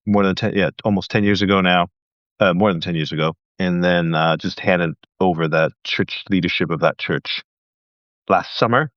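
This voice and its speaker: A man with a low voice, a guest on a podcast, chatting easily and joking with quick asides. The sound is dry and close, a little dull in the highs. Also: no room sound. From Thailand. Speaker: podcast guest, missionary leader. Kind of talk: casual interview chat.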